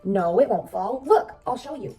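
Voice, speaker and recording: A woman voicing a reassuring, smirking father in a storybook, calmly explaining things to his child. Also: on the high side, moderate pace, husky, recorded from a distance, faint music behind. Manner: calm, instructive.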